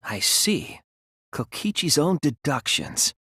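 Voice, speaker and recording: A man playing an earnest, analytical young male detective in an English video game dub, reasoning deliberately and articulately. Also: a little high, big pitch swings, bright, forceful tone, slightly rough, dry recording, clean studio sound, full character voice. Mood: grave, subdued. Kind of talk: English game dub, dramatic courtroom deduction.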